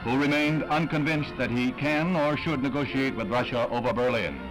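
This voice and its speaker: A man's voice: mid-range voice, moderate pace, rough recording. An American man reading scripted 1960s newsreel narration in a dramatic, solemn, declamatory manner, on a vintage film soundtrack. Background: orchestral newsreel music.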